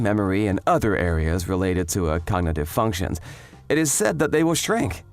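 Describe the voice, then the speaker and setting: A man voicing a calm male doctor who lectures in a slow, measured, clinical way. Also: fairly low pitch, pitched below the performer's own voice.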